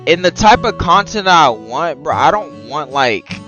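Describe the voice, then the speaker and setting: A man's voice: fairly high pitch, big pitch swings, a little slow, pushed and bright, highs cut off. A man streaming a visual novel, switching between casual, profane slangy commentary and reading the narration aloud. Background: plucked guitar game music.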